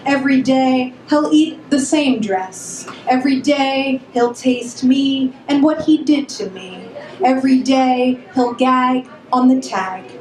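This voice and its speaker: A woman's voice: sits high, takes it slowly, a little mellow. A woman reading poetry aloud at a live event. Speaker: poet. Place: pub room, live reading. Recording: echoey pub room, faint background noise.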